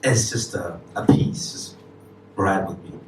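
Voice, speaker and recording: Man, from Cameroon, spoken word poet, lowish voice, distant mic, roomy live hall. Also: unhurried, background noise. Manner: rhythmic, emphatic delivery with casual asides. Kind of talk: spoken word poetry performance. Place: live stage with audience.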